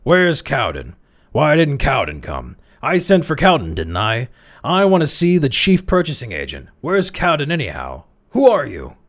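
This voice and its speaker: Brief: bursting, snappish old boss, high and loud, read by a man. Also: steady tone, takes it slowly, few pauses, exaggerated voice. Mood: irate. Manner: snapping, explosive outbursts. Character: irate old male company chairman.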